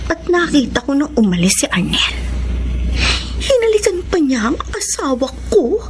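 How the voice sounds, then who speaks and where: A wronged young wife and mother, voiced by a woman, in a very high voice. She swings between bitter resolve and tearful pleading. It is a dramatized audio story. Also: big pitch swings, normal speed. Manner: emotional, tearful.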